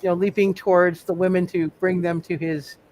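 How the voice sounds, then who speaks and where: A man's voice: high-pitched, little pitch movement, fairly quick, darkish tone, slight background noise. A man improvising narration as game master of a tabletop roleplaying game, often repeating his words as he thinks.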